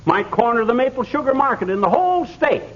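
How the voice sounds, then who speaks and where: A man's voice: very high voice, very animated, fairly quick. An American man playing a blustering, self-important, gullible husband in 1940s radio comedy, folksy and given to pompous speeches. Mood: pompous, showy. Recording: muffled, noisy 1940s radio recording.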